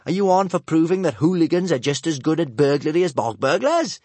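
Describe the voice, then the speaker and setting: A bold young girl burglar, high and breezy, as a male narrator puts her on, loud and quick with big leaps in pitch. It sounds dry and close. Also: no room sound. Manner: breezy, excitable.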